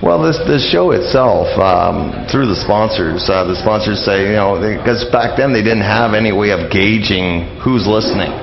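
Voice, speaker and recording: A man being interviewed on the radio, explaining and reminiscing informally in a halting, anecdotal way. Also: on the low side, creaky. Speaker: radio interview guest on old-time radio history. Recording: dull lo-fi radio, roomy, crowd behind.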